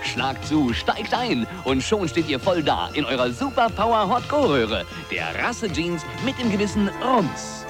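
An actor playing a hyped-up radio advertiser with slangy, over-excited patter pitching to teenagers. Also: unhurried, hardly any pauses, music in the background.

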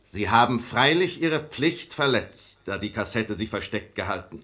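An actor playing a stern royal officer with an official, commanding manner in a verse radio play. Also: male voice, lowish voice, animated, normal speed, pushed and bright. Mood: solemn, reassuring. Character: stern, authoritative royal officer, magnanimous to the innocent.

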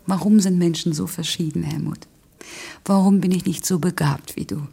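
A woman's voice: lowish voice, fairly even intonation, moderate pace, gravelly, mellow, slightly rough. An actress playing a stubborn, self-doubting working woman in a naturalistic radio play, frank and wry in manner. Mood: earnest, determined.

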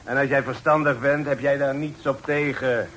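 An actor playing an arrogant, tipsy rich suitor in a radio play, mocking and insolent. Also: male voice, mid-range voice, fairly even intonation, moderate pace, a bit bright, close to an everyday voice. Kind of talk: Dutch radio play acting. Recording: slightly noisy old radio play recording.

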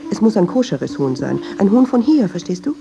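An actress playing a brooding, persistent woman in a radio drama, speaking in an introspective, deliberate way. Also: medium pitch, big pitch swings, creaky. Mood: earnest, conversational. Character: brooding, persistent, troubled woman who argues with herself. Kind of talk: radio drama monologue and dialogue. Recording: dull, hissy radio drama recording.

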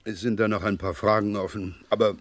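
Male actor as police inspector, low voice, dry studio sound, courteous, persistent, hesitant repetitions, crime radio play. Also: fairly even intonation, no room sound, natural voice. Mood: politely probing. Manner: polite, probing, unhurried with hesitant repetitions.

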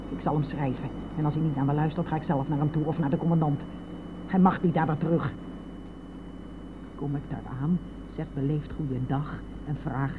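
An actress playing a stubborn, aggrieved older mother in a Dutch radio play, blunt and indignant in her way of talking. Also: fairly low pitch. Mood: stern, defiant. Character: stubborn, aggrieved old mother, disapproving of her daughter-in-law. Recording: tinny band-limited old radio recording, vehicle noise.

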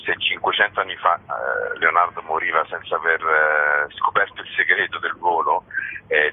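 A man with an extremely low, husky voice speaks in an informal interview. He explains things expansively, often building lists. The recording is muffled and lo-fi, like radio or phone audio. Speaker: festival co-director being interviewed.

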